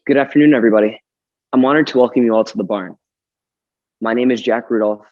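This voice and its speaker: A young man in his late teens with a slightly raspy voice gives a formal, polite welcome speech as a student team captain in a school gym. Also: medium pitch, fast talker, dry recording, narrow, tinny audio.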